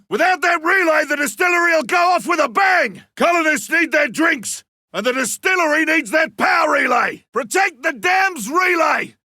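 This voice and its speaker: Cocky game monster hunter, gravelly creak, wildly swooping delivery, barked combat commands, battle-hardened. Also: male voice, very high-pitched, bright, forceful tone, dry recording, clean studio sound, heavily acted. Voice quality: raspy, gravelly. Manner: barking, urgent combat callouts. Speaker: video game voice actor. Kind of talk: video game character voice lines.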